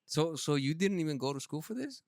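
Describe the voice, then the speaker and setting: A man's voice: a little high, sing-song, a little fast, background noise. A man hosting a podcast chat, talking informally with slang, some swearing and effusive reactions to his guest. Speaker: podcast host. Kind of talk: casual chat interview.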